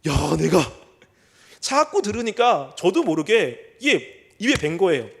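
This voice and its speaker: A middle-aged male pastor giving a sermon. He asks rhetorical questions and reads scripture slowly and deliberately. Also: high-pitched, sing-song, creaky, fairly bright tone, breathy edge. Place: church sanctuary.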